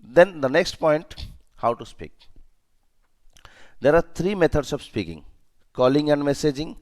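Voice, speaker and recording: A man's voice: a little high, expressive, unhurried, a little mellow. A man with an Indian accent giving an instructional lesson as a trainer, speaking emphatically, repeating key points and checking understanding with short questions. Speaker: safety and security trainer.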